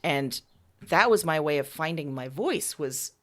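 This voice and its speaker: A woman's voice: low-pitched, expressive, a little slow. A woman writer being interviewed, talking candidly and informally in a casual conversational style.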